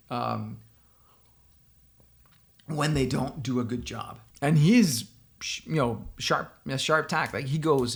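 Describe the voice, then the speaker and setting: Gravelly Danish-accented man, opinionated. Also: medium pitch. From Denmark. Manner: opinionated, talking straight to the viewer. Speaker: software company founder giving political commentary. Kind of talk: casual commentary responding to a blog post.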